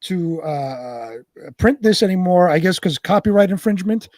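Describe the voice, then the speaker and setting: A man's voice: sits high, lively intonation, normal speed, darkish tone, no room sound, studio quality. A man chatting casually as the co-host of a show. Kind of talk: casual chat.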